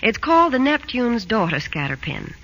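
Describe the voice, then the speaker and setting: A woman's voice: a little high, sing-song, moderate pace, a bit bright, lo-fi sound. A woman delivering scripted advertising in 1940s radio style. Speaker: advertising spokeswoman. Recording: old radio broadcast, faint noise.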